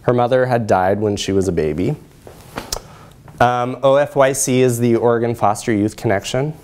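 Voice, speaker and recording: A middle-aged man presenting research in a lecture room, explaining in a measured way with frequent pauses. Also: on the low side. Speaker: presenter, social work researcher. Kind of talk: academic lecture with slides.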